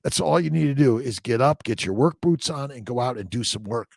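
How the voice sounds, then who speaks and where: A man's voice: mid-range voice, animated, a little fast, vocal fry, no room sound. An American man hosting an informal motivational livestream, exhorting listeners in short repeated phrases and loose asides. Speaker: host, fertility doctor. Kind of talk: informal morning livestream, motivational chat with read-aloud passages.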